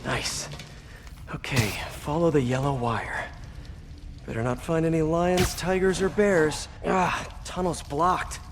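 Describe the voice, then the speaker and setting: A man's voice: on the high side, takes it slowly, background noise. An actor playing a young, good-hearted American superhero in a video game, earnest and quippy as he talks. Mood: light, focused. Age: young adult. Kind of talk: video game voice acting, talking to himself. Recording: loud music behind the voice. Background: game music.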